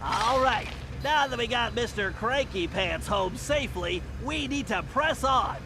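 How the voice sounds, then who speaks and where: An actor playing a nerdy, excitable little strategist in a video game, giving urgent, precise orders to his team. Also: very high voice, a little slow, background noise. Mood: encouraging, directing. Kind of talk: animated video game cutscene acting. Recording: loud music behind the voice.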